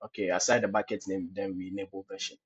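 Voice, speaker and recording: A man's voice: low-pitched, flat delivery, fast talker, husky. A man with a cold hosting a screen-recorded cloud lab tutorial, explaining step by step with many "okay, so" fillers. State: has a cold. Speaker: tutorial host. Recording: dull lo-fi screencast audio.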